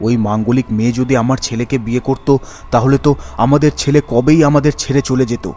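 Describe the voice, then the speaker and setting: A man voicing an agitated, superstitious father in an animated horror story, with music underneath. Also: mid-range voice, fast talker, lightly acted. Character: urgent, superstitious father of a grown son.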